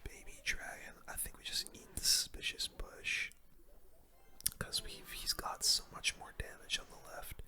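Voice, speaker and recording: A video game streamer giving casual ASMR gameplay commentary in a soft, breathy whisper, with relaxed gamer slang. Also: measured pace. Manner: casual gamer slang, murmured reactions to play.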